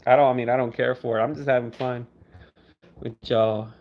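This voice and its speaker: A man's voice: medium pitch, fairly even intonation, fairly quick, highs cut off, a little hiss or hum. Laid-back man chatting over phone audio. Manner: laid-back, teasing, laughs often, answers the chat. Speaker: livestreamer. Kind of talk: casual livestream chat reading viewer comments.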